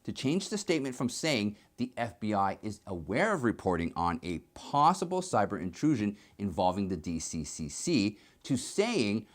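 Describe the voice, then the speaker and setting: A man presenting scripted political news commentary in an emphatic, explanatory manner. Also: on the low side.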